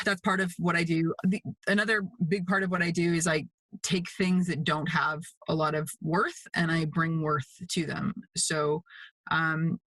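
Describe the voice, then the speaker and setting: A woman's voice: low voice, a bit bright, no room sound, rough recording. A woman from Canada with a Canadian accent, chatting casually as an interview guest. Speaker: guest, mixed media artist.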